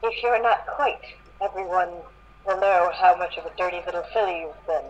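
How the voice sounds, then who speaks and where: A woman's voice: fairly low pitch, moderate pace, muffled recording, lightly acted. A woman voicing a domineering, taunting female pony villain in an amateur story reading. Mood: gloating. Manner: taunting, commanding.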